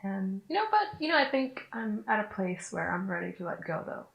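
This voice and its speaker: A young woman playing a heartbroken client in therapy, speaking hesitantly with halting fillers. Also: mid-range voice, very animated, creaky, lo-fi sound. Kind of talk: acted therapy dialogue.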